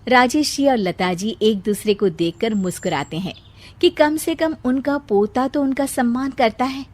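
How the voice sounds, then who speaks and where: A woman's voice: a little high, normal speed, darkish tone. A woman narrating a scripted moral story as a storyteller.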